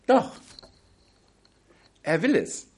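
A man preaching a sermon on a Bible passage in a language that is not his first, with slow, emphatic delivery that drops into quiet asides. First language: not German.

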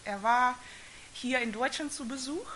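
Halting woman giving a faith testimony. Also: sits high, bright, forceful tone, slight background noise. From Soviet Union. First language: not German. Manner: halting, hesitant, with frequent restarts. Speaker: church member giving testimony. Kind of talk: personal faith testimony with scripture reading.

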